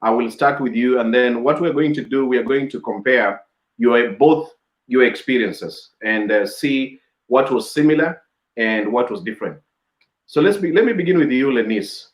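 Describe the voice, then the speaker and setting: A man's voice: medium pitch, moderate pace. A man hosting a casual interview over a video call, chatty and laughing often with many fillers. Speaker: host. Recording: echoey room.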